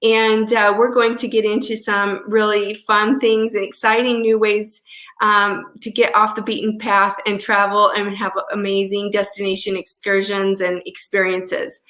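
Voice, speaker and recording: A woman's voice: medium pitch, pushed and bright, reverberant. A woman travel advisor hosting a live chat on a video call, speaking in an upbeat, enthusiastic way with many fillers. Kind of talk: casual live-stream chat.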